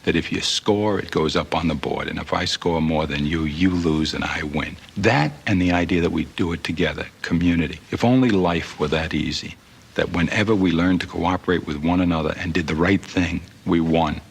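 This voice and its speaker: Deep, gravelly, reflective man. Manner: measured, philosophical phrasing. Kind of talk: reflective spoken remarks on sport.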